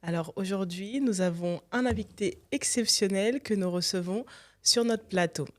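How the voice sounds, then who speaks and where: A woman hosting a faith talk show, welcoming her guest with slow, formal questions. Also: medium pitch. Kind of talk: hosting an interview.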